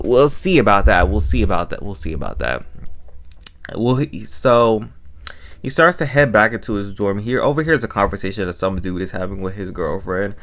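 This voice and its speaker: A young man with an American accent giving a casual, unscripted recap as a reviewer, rambling and repeating his phrases. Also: lowish voice. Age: young adult.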